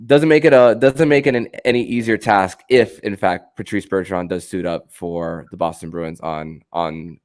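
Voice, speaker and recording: A man hosting a casual sports podcast, talking in a halting way that often stammers and repeats words and phrases. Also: low-pitched, no echo, studio quality.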